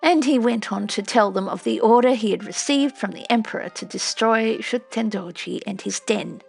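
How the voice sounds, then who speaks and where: A woman's voice: fairly high pitch, normal speed, gravelly, breathy edge, soft background music. A woman reading scripted storybook narration as an audiobook narrator.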